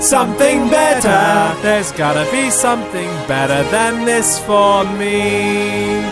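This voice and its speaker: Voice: medium pitch, expressive, slow, over loud music. A performer playing a downtrodden, hopeful boy in a plaintive, earnest manner. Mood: wistful singing.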